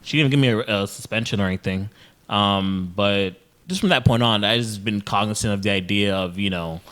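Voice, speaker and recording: A man with a bright, forceful tone and a lowish pitch, chatting casually on a podcast. He tells his stories loosely, full of "you know" and restarts. Haitian-born, he now talks in a plain American way. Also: a little fast. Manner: rambling storytelling with many fillers and restarts. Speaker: podcast host.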